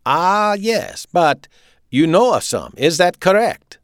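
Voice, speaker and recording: A menacing German camp commandant in an audiobook. The voice is pitched high and swoops widely, with clipped, staccato phrasing and a demanding, authoritarian edge. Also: male voice, sing-song, slow pace, clear, resonant tone, no echo, studio quality, exaggerated voice. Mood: angry, demanding.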